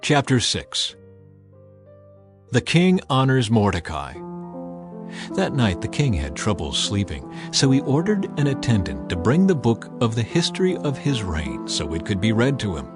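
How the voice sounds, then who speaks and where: A man's voice: medium pitch, wildly swooping pitch, measured pace. A man narrating a dramatized scripture audiobook in an emphatic, theatrical storytelling manner. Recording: music bed behind the voice.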